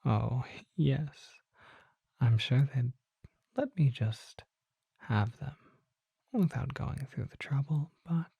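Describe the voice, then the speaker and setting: Performer acting a whispering, husky vampire apothecary. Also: medium pitch, mellow, no room sound, full character voice. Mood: gentle, courteous. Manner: formal, polite, unhurried, old-fashioned phrasing. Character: courteous, mysterious vampire apothecary merchant, softly musing. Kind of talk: whispered ASMR roleplay.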